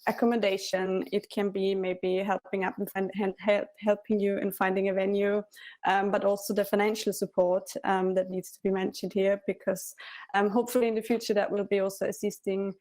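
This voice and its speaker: Give me a woman speaking in a level, even tone, hesitant and friendly. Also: medium pitch, brisk. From Austria. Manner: friendly, hesitant, many ums. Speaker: cultural forum music officer. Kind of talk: conference introduction.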